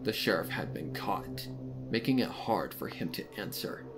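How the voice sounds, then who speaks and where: A man's voice: sits low, lively intonation, moderate pace. A man reading fan fiction aloud as a dramatic, scripted story narration. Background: background music.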